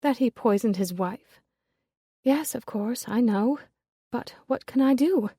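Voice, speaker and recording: A woman voicing a genteel young lady who speaks softly and pleads in distress. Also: a little high, unhurried, husky, dry recording, clean studio sound, pitched above the performer's own voice.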